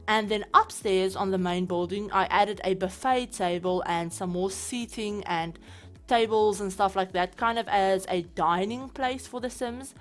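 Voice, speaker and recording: A woman's voice: mid-range voice, a little slow, faint music behind. A woman with a South African accent, chatting casually over her gameplay as a channel host, rambling with lots of fillers. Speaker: game building channel host.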